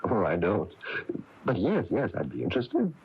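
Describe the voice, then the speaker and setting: An actor playing a kindly, chatty small-town minister in a radio drama, coaxing and avuncular, with hesitant phrasing. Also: male voice, lowish voice, wildly swooping pitch, normal speed. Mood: curious, encouraging. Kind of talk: 1980s radio drama acting. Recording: dull, noisy AM radio recording.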